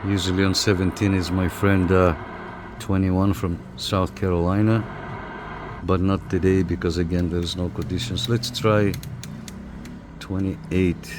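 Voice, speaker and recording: A man's voice: low-pitched, level tone, a little slow. A man giving a casual hands-on review as a hobbyist, thinking aloud as he works, with hesitations and repeated phrases. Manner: hesitant, repetitive, thinking aloud while working. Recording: clear background noise.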